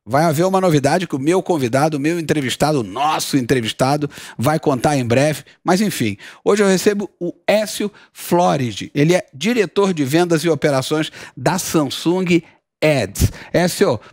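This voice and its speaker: A man hosting a business interview show, chatty and effusive, often jumping in with quick remarks. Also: fairly high pitch, dry recording, clean studio sound. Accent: Brazilian Portuguese.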